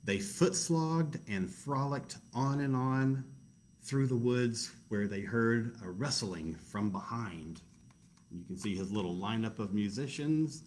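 A man's voice: medium pitch, slow, a little mellow, a little hiss or hum. A man reading a picture book aloud to children in a casual, chatty way, with self-deprecating asides. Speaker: library storytime reader.

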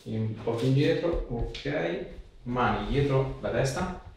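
A man's voice: medium pitch, expressive, takes it slowly, vocal fry. A man in his thirties, a chiropractor giving short, casual instructions to a patient during treatment. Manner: short, informal directions. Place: small treatment room, indoors. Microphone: camera a few metres away. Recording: very echoey room, distant mic, faint noise.

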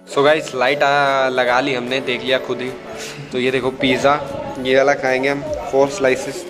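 A man, chatting casually and teasing his companion as he films a food vlog in a small restaurant. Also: fairly high pitch. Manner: chatty, teasing, mixes a little English. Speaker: food vlogger.

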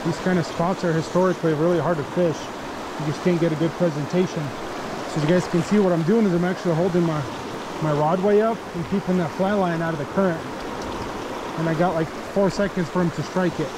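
Young man, enthusiastic fishing vlogger, fairly high voice, quick talk, loud rushing stream behind. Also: steady tone. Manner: enthusiastic, talks to viewers as "you guys". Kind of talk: casual outdoor vlog narration. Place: outdoors by a forest creek. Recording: outdoor recording with loud stream noise. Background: rushing stream water.

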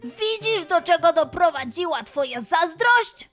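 Lady doing a sweet frank little lion boy, really high voice, swoopy, kids story. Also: lively intonation, a little slow, fairly bright tone, faint music behind, exaggerated voice. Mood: frank, reproachful. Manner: explaining patiently, exclaiming eagerly. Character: kind, earnest young lion boy, excitable, frank. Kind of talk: children's audio fairy tale acting.

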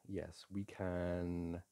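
A man playing a playful boyfriend in an intimate audio roleplay, chatting casually, teasing and coaxing the listener in a gentle, halting way. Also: low voice, level tone, heavily acted. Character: playful, teasing, soothing boyfriend at a board game.

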